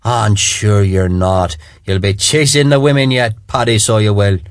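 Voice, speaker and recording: A man voicing a rough but tender Irish army sergeant, with an Irish brogue and a lilting way of talking. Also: on the high side, very animated, slow, no room sound, studio quality, pitched above the performer's own voice. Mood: soft soothing.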